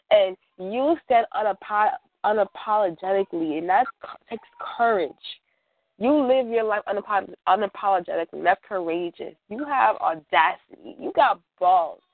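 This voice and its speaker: A woman's voice: mid-range voice, dry recording. A woman giving heartfelt, supportive talk on a radio show, repeating phrases for emphasis. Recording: narrow phone-like call-in line.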